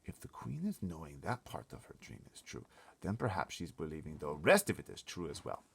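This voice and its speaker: A man puts on a huge, friendly storybook giant. He is simple and unsure of himself, asking things in muddled, quaint grammar. The voice has a gravelly creak. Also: extremely low pitch, very animated, brisk, a bit bright, exaggerated voice. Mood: pondering. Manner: ungrammatical, quaint speech, questioning. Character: big friendly male giant, simple, timid, wondering. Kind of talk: storybook read-aloud.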